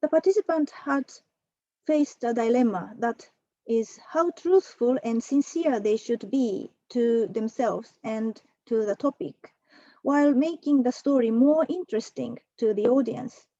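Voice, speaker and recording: A woman's voice: a little high, warm tone, no room sound, muffled recording. Woman reading an academic talk. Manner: measured, reads from a prepared text with occasional fillers. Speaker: conference presenter. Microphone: computer mic for an online talk.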